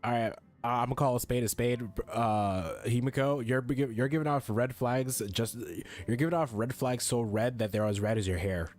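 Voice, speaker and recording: A male game streamer with a medium-pitched voice, chatting casually. He rambles, jokes and swears freely, with faint music behind him. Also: moderate pace, few pauses, darkish tone. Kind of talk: casual let's play commentary.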